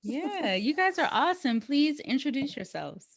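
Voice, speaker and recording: A woman's voice: high voice, very animated, normal speed. A woman hosting a casual interview, laughing often and asking questions. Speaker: host.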